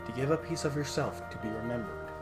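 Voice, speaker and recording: A man voicing a young female warrior student who speaks earnestly and pleads with her teacher, his voice lifted higher than his own. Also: expressive, fast talker, over music, close to an everyday voice. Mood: quiet earnest. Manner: pleading, raised pitch.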